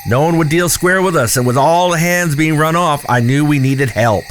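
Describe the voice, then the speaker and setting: A man's voice: a little high, moderate pace, a little hiss or hum, close to an everyday voice. A young man playing an earnest, apologetic frontier lad in a western audio drama. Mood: anxious, confessing. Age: young adult.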